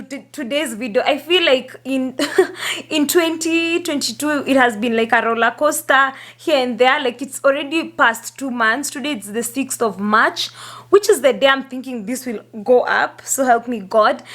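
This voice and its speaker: A hoarse woman, chatting upbeat to her viewers in a casual vlog, laughing often between phrases. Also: high voice, a bit bright. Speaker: YouTuber. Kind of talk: casual vlog talk to camera.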